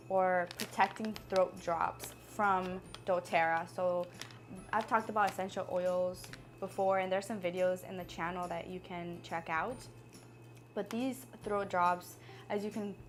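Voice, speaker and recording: Earnest woman giving wellness advice. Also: on the low side. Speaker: wellness vlogger. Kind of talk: casual talk to camera.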